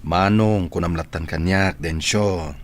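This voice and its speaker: A friendly, easygoing elder in a radio drama, with an Ilocano accent and a fairly low voice. Faint noise and ambience sit behind him. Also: male voice, a little slow. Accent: Filipino, Ilocano. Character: friendly, informal older man putting a youth at ease.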